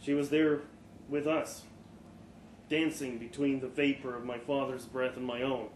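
A man giving an expressive live reading of a first-person short story, with dramatic pauses and the odd stumble. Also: mid-range voice. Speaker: story reader. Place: live storytelling event in a pub room. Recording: echoey live venue, faint background noise.

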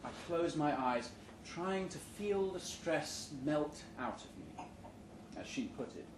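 A man giving a live, measured, deadpan reading of satirical fiction at an indoor venue. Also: on the high side. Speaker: short story reader. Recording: roomy, noisy live venue recording.

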